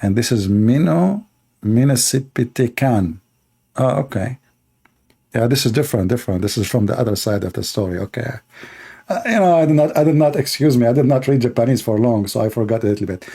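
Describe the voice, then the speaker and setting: A man hosting a livestream debate in a mellow, dark-toned voice at an ordinary middle pitch. He rambles sarcastically and mocks, stringing thoughts together with fillers. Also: lively intonation, brisk, creaky, slightly rough. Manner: mocking, rambling, full of fillers.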